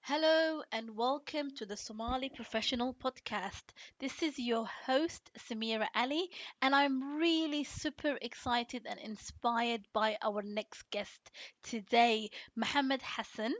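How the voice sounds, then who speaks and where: A woman's voice: on the high side, measured pace, dry recording, dull audio. Enthusiastic woman podcast host, dry sound. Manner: enthusiastic, repeats phrases. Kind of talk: podcast interview, upbeat intro.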